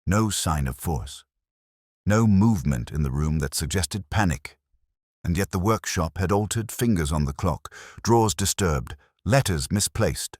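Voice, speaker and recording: A man reading a scripted first-person detective story in a solemn, measured, literary way. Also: a bass voice, darkish tone, husky, no room sound, studio quality. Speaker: narrator.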